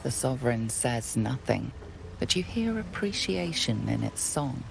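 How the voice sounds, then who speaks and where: Game narrator: woman, very low register, slow, deliberate, mysterious, scripted fantasy narration. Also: very animated, gravelly, noisy background, exaggerated voice. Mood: solemn, mysterious. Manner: measured, atmospheric, deliberate. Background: music.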